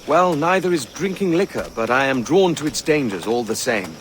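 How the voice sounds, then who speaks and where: Faint outdoor sound lies under a roguish male sailor, performed by an actor. He has a medium-pitched, expressive voice and a glib, wry politeness, slightly hesitant, in video game cutscene style. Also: normal speed, a little hiss or hum, close to an everyday voice. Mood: wry, probing.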